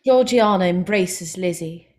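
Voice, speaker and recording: A woman's voice: fairly low pitch, unhurried, darkish tone. A woman giving scripted narration as the stage-directions reader of a drama reading.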